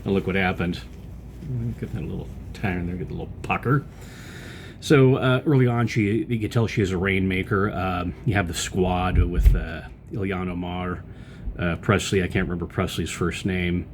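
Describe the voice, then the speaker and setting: A man with a deep, low-pitched voice talks straight into a close camera mic as a podcast host. His commentary rambles in a casual way, with lots of "uh"s and false starts. A faint low rumble sits underneath. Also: fairly even intonation, moderate pace. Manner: rambling, loose delivery with many fillers and restarts. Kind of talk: casual political commentary monologue.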